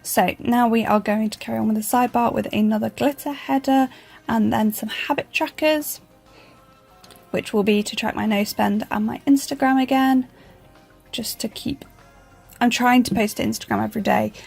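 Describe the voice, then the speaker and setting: A woman with a croaky voice, chatting casually over her planner video with a self-deprecating, rambling manner. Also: on the high side, steady tone, moderate pace, a little mellow, soft background music. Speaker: planner vlogger.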